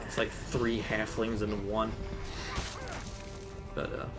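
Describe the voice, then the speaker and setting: A man's voice: fairly low pitch, level tone, takes it slowly. A young man hosting his gaming channel, laid-back and rambling, swearing freely as he chats with a friend. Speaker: channel host. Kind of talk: casual gameplay chat. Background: game music.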